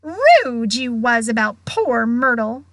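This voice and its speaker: A woman's voice: fairly high pitch, sing-song, slow pace, no room sound. A woman voicing a wicked, sly little male poltergeist who taunts in a mock-sweet manner for a storybook.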